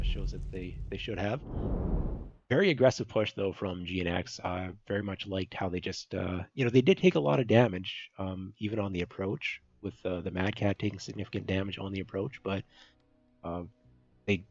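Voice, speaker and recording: Low-voiced man calling an esports match. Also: dry recording, highs cut off. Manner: measured, many fillers. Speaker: esports caster. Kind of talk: play-by-play commentary.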